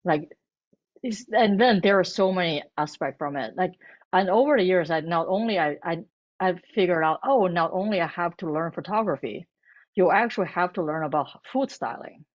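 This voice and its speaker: Halting woman, Chinese native speaker. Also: lowish voice, lively intonation, lo-fi sound. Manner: halting, many restarts and fillers. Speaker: cookbook author and food blogger. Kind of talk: bookstore author talk.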